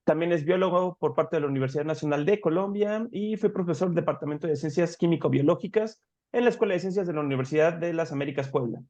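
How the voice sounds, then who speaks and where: Male interviewer, slightly high voice, hesitant with fillers, muffled lo-fi recording. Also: quick pace, darkish tone. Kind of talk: interview questions.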